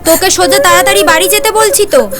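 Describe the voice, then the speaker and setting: A woman's voice: very high-pitched, quick pace. A woman playing a strict, protective mother in an audio story, with a stern, commanding way of talking. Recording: music playing under the voice.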